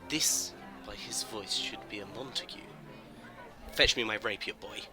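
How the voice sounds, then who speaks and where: Man acting a low-voiced seething young nobleman. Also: unhurried, music in the background, close to an everyday voice. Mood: seething, scornful. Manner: scornful, seething. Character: hot-headed, vengeful young nobleman villain. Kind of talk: Shakespearean audio drama. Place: home recording. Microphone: phone.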